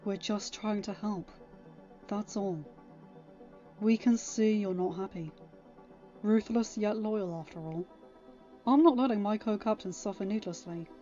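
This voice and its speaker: A woman voicing a loyal, caring teenage girl co-captain who talks softly but insistently in a story. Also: mid-range voice, a little slow, long pauses, highs cut off, faint music behind, lightly acted. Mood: soft, supportive. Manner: soft, gently insistent.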